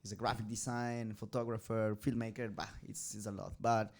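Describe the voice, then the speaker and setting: An Ecuadorian man hosts a relaxed podcast. He talks with excitable energy and laughs often. His voice jumps in loudness from word to word. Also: lowish voice, a little fast. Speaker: podcast host. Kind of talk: casual podcast chat.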